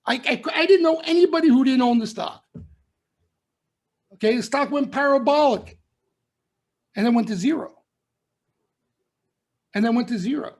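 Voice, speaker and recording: A man's voice: very high voice, moderate pace, pauses often, a little mellow. A middle-aged man giving a market commentary broadcast, warning listeners emphatically and repeating his points. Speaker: stock trading commentator. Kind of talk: members-only market broadcast.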